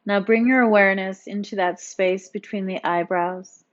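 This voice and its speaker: A woman yoga instructor narrating a guided meditation in a soothing, gently encouraging, instructional manner. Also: mid-range voice, steady tone, normal speed, muffled recording.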